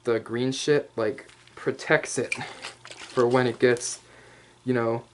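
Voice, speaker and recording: A man's voice: medium pitch, steady tone, slow pace, slight background noise, rough recording. A man doing an improvised, casual ASMR roleplay show-and-tell, rambling with jokey asides and swearing. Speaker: ASMR roleplay host showing props.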